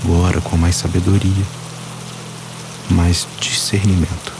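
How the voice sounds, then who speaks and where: A man guiding a meditation, speaking calmly and slowly in short phrases with long pauses. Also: low-pitched, very noisy. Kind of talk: guided meditation. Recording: voice-over with music and water sounds. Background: soft music, rain and running water.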